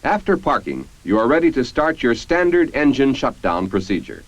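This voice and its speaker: American guy narrating an old 50s army training film, formal and measured, lots of pitch movement, muffled old film sound. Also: sing-song, dry recording. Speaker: narrator of military instructional film. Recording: dull, band-limited 1950s film soundtrack.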